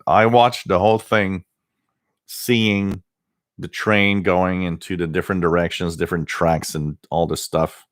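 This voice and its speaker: Low-voiced man chatting. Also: no room sound, clean studio sound. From Quebec. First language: French. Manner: rambling, hesitant with many fillers. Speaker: radio livestream host. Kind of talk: casual live chat reading viewer comments.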